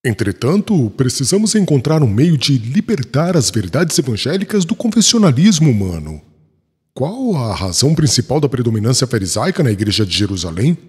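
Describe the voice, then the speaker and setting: Man playing a husky zealous apostle in reverb. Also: mid-range voice, sing-song, a little fast, darkish tone. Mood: grave, questioning. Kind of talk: audiobook dramatized dialogue. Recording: long reverb, as in a hall.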